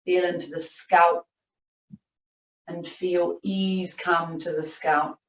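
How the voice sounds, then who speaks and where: A woman yoga teacher leading a guided meditation, giving soothing, unhurried instructions with long pauses. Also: lowish voice, flat delivery. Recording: roomy, distant, muffled video-call audio.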